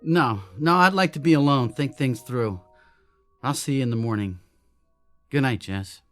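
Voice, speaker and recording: A man's voice: mid-range voice, normal speed, fairly bright tone, faint music behind, lightly acted. An actor playing a distraught, incredulous man who learns he is an android, in a radio drama style. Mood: troubled, tender. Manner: agitated, pleading, incredulous.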